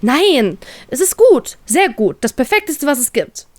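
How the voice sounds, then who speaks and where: A woman's voice: high voice, sing-song, measured pace, no room sound, voice pushed up, heavily acted. A woman voicing a shy, tearful, easily flustered young woman in a story, with a whiny, stammering delivery. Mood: flustered, defensive.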